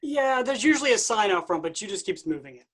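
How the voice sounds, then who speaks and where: An actor playing Jesus as a casual, awkward, upbeat buddy in a comic staged play reading. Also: male voice, high voice, sing-song, quick pace, fairly bright tone, exaggerated voice. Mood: awkwardly caring. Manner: breezy, informal.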